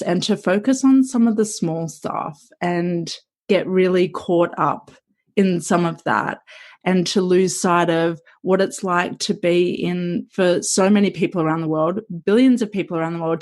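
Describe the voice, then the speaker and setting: A woman's voice: on the low side, a little mellow. A woman from Australia hosting a podcast interview, speaking in a thoughtful, affirming way. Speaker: podcast host.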